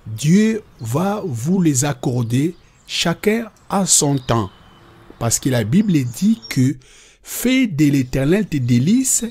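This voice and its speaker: Slow, expressive man playing a preacherly cartoon father. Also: mid-range voice, a little hiss or hum. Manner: didactic, preacherly, deliberate. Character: devout father patiently teaching his children.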